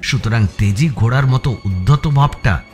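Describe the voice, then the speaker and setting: A man narrating a suspense audio story as its protagonist, in a measured, dramatic storytelling style. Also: medium pitch, darkish tone. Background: background music.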